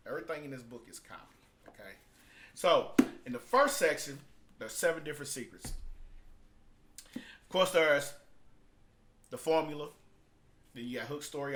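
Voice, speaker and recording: A man's voice: medium pitch, pushed and bright. A man talking casually and off the cuff as he reviews and explains, in an emphatic, repetitive, colloquial way. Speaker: vlogger reviewing a business book.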